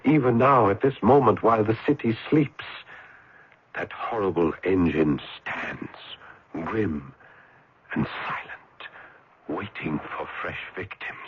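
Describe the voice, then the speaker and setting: An actor playing a tormented, lonely, shy scholar in an old-time radio drama, halting and melodramatic, repeating his phrases. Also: on the low side, slight background noise. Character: tormented, lonely, shy, bookish man in revolutionary Paris. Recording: dull, lo-fi radio drama recording.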